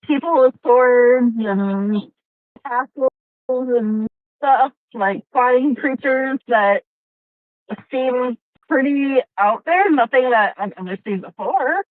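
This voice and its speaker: A woman's voice: sits high, takes it slowly, dry recording. A woman playing a naive, curious mechanical being in a tabletop game, hesitant and full of wonder. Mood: puzzled, wondering. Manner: hesitant, wondering, peppered with questions. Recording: muffled tinny phone-like audio from a car.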